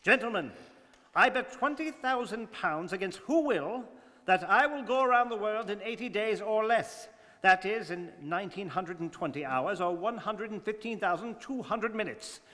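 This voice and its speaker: A man in a stage radio drama playing a precise English gentleman adventurer, formal, clipped and imperturbable. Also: sits high, animated, normal speed. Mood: coolly confident. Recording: reverberant studio hall.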